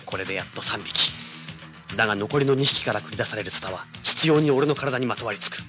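A man acting a brisk, brash action hero. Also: lowish voice, lightly acted. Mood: tense narration. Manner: hard-boiled, cocky, intense. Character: brash, cool-headed young male treasure hunter, action hero. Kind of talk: dramatic first-person audio drama narration. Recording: dramatic music under the voice. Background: dramatic background music.

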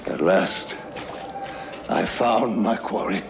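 An older man playing a reverent, excitable scholar in a video game, theatrical and earnest. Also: fairly low pitch, takes it slowly, gravelly, noisy background. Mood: awed, excited. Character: elderly male scholar, reverent, excitable explorer. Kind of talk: dramatic game monologue. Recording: music behind the voice.

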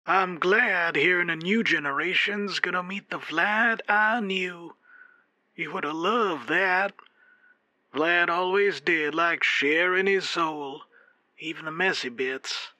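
A man plays an old werewolf musician for an audio drama, plainspoken and reticent. His delivery is very slow and halting, with a strikingly bright edge, recorded close and dry. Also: high voice, no room sound, full character voice. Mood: tender, wistful. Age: older. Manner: halting, plainspoken, sighing pauses. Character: elderly male werewolf fiddler, reticent, wistful.

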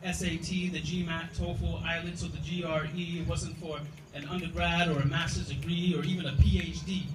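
A man's voice: fairly high pitch, level tone, moderate pace, few pauses. A man giving a spoken word poetry reading with a rhythmic, rhyming delivery. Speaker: poet. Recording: echoey room from far off, loud noise.

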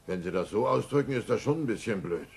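An actor playing a bumbling, earnest, excitable middle-aged cartoon father in a German-dubbed audio play, speaking in a declamatory way. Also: fairly low pitch, fast, creaky. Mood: sheepish. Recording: noisy old cassette audio play.

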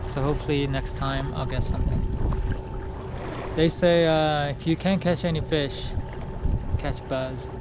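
A man in his forties, a little tipsy, talking casually to a kayak-mounted camera on the sea, rambling with lots of fillers. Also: on the high side. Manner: slow, relaxed, rambling with many fillers. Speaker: fishing vlogger. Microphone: action camera mounted on the kayak. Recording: clear sea wind and water noise. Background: wind and water noise.

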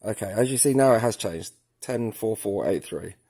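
A man's voice: on the low side, animated, normal speed, warm tone, husky. A man narrating a casual step-by-step tutorial, thinking aloud informally as he works with his hands. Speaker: product tutorial presenter. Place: indoors at a work table, filming hands and device.

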